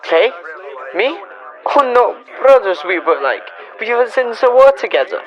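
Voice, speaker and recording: A young-sounding performer voicing an anxious immigrant farmer, halting and plaintive, in a radio western. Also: medium pitch, very animated, dull audio. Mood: indignant denial. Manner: halting, plaintive, protesting in broken English. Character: anxious immigrant German farmer, man, pleading and simple. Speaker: actor in a student radio drama. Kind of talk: old-time radio western acting.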